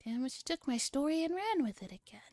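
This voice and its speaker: A woman chats on an ASMR stream with playful, slangy asides. Her voice is relaxed and close-sounding, very dry, with faint music behind it. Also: high voice, fast, no echo. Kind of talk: soft casual ASMR stream chat.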